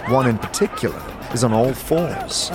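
A man's voice: mid-range voice, lively intonation, normal speed, over loud music, over a noisy crowd. A man giving dramatic, theatrical scripted narration for a fantasy audio drama, telling the story vividly in the second person.

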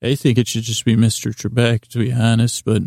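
A man hosting a bedtime podcast in a rambling monologue, mumbling and stumbling apologetically through halting, meandering, self-deprecating asides. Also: medium pitch, completely flat delivery, creaky, a little mellow, no room sound, studio quality. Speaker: podcast host. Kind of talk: rambling bedtime monologue to help listeners sleep.

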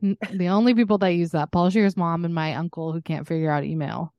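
A woman's voice: fairly low pitch, fairly even intonation, fairly quick, dry recording, dull audio. A young woman co-hosting a podcast in casual comedic chat, with a candid, wry, joking manner. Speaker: podcast co-host.

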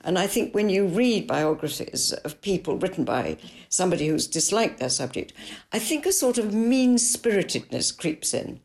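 Low, gravelly older woman author. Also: sing-song, a little mellow. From Italy. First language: English. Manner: measured, thoughtful, frank. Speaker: author and biographer. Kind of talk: podcast interview answers.

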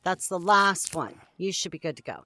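A woman playing a caring, gently scolding field medic in an audio drama. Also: lowish voice, lively intonation, moderate pace. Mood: warm, teasing.

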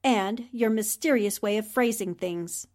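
A woman's voice: medium pitch, measured pace, darkish tone, natural voice. A woman playing a poised, intelligent newspaper columnist heroine, polite but firm and articulate, in 1940s radio drama style. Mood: defiant.

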